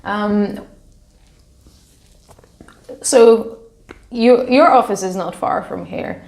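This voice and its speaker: Woman as podcast-style interviewer, mid-range pitch with plenty of vocal fry, informal questioning, roomy echo. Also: extremely slow, a little mellow. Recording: roomy, echoing sound.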